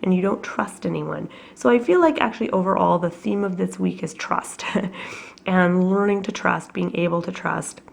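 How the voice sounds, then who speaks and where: Husky, low-voiced woman with a cold. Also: moderate pace. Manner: chatty and reflective, with many fillers. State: has a cold, coughing. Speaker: tarot reader. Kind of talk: casual weekly tarot reading to camera. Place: at home.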